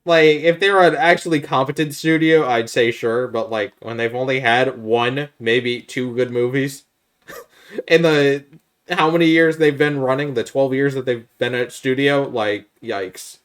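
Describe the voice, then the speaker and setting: Guy podcast host, mid pitched voice, casual and blunt, critical takes. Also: steady tone, measured pace, fairly bright tone. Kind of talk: casual opinionated chat about films.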